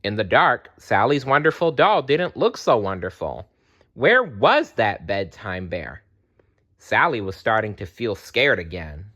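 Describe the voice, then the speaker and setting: A man narrating a children's story aloud in an expressive storytelling style. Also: on the low side, unhurried, dull audio.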